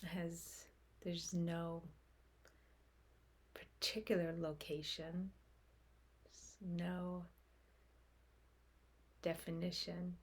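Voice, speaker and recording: A woman leading a guided meditation in a soft, breathy voice, speaking gently in short phrases with long pauses. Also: fairly low pitch, flat delivery. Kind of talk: guided meditation, slow unfolding instruction.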